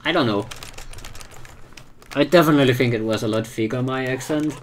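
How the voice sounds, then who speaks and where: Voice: mid-range voice, brisk, slight background noise. A live streamer with a non-native English accent, chatting casually while gaming, hesitant and often repeating words while searching for them. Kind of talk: casual chat while playing a game.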